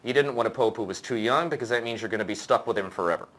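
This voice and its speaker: A man's voice: medium pitch, racing, a bit bright. A man in his forties, an interview guest, giving fluent, explanatory analysis with occasional stammered restarts, recorded indoors on a lapel mic. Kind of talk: conversational analysis. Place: indoor room with lamp and curtains.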